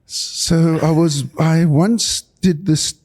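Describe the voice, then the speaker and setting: A man from South Africa, a leadership coach explaining his work as a guest on a podcast, with frequent pauses and restarts. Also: fairly high pitch, fairly even intonation, a little mellow. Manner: explanatory, with many fillers and restarts. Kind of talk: podcast interview.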